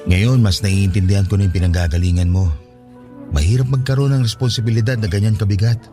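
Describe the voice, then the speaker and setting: An actor playing a devoted, patient young suitor in a radio drama, speaking in a tender, earnest and reassuring way. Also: male voice, fairly low pitch, steady tone, quick pace, natural voice. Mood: understanding. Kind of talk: Tagalog radio drama dialogue. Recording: studio drama with music underneath. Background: background music.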